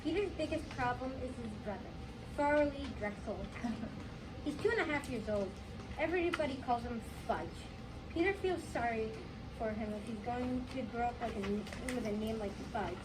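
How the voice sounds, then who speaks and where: A young female student reads story narration from a script. Her voice is high. It is recorded from a distance with clear background noise. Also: lively intonation, normal speed. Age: child, fourth grade.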